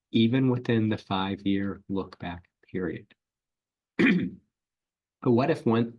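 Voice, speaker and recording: A man, an elder law attorney, giving a webinar presentation in an explanatory way, clearing his throat often and using filler ums. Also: lowish voice, darkish tone, dull audio.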